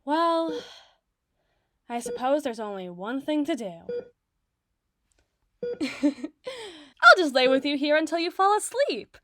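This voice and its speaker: A woman playing an obsessive, doting doctor in an ASMR roleplay, speaking softly and articulately with theatrical tenderness. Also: high voice, big pitch swings, takes it slowly, pauses often, noisy background, heavily acted. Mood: soothing, coy. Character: obsessive, doting yandere woman doctor, soothing but possessive. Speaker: audio roleplay voice performer.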